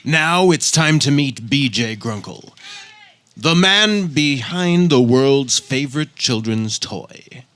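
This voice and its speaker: A man's voice: medium pitch, lively intonation, takes it slowly, fairly bright tone. A man playing a grand, dramatic movie trailer announcer in a comedic radio parody. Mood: portentous. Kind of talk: parody film trailer narration.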